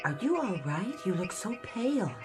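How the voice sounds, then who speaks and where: An actress playing a dutiful, concerned office secretary in a radio-style drama, efficient and solicitous in manner. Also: female voice, mid-range voice, very animated, moderate pace, close to an everyday voice. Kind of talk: dramatic radio-play acting. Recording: dramatic music behind the voice.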